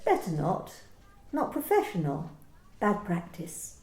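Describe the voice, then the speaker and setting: An amateur actress playing a formal, officious female workplace manager in a radio play, measured and precise. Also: on the low side, huge pitch swings, a little mellow, echoey room.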